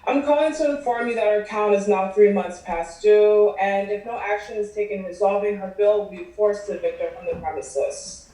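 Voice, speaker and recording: Businesslike female administrator played by a woman, polite, soft-toned and dark, table read on a distant roomy mic. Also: mid-range voice, normal speed, lightly acted. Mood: polite, firm. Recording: echoey room, distant video call mic.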